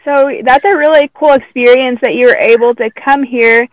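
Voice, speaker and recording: Casting: woman as podcast host, slightly high voice, casual interview style, close dry sound. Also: fairly even intonation, moderate pace, no room sound, rough recording.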